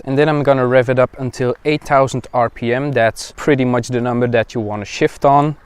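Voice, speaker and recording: Clear-voiced man giving a casual review. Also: mid-range voice, no room sound. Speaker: vlogger reviewing a motorcycle exhaust. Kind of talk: casual hands-on product review.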